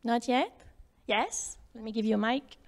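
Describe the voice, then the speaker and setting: A woman's voice: on the high side, a little slow. Woman speaker coaxing a student audience. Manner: coaxing, questions the audience directly. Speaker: workshop speaker. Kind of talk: live workshop talk to students. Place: lecture room with audience.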